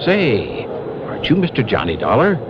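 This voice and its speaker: A man's voice: mid-range voice, huge pitch swings. A man playing a flustered, apologetic, insistent stranger in a 1950s radio drama. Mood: anxious, insistent. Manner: halting, insistent, anxious. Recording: noisy, dull old radio recording.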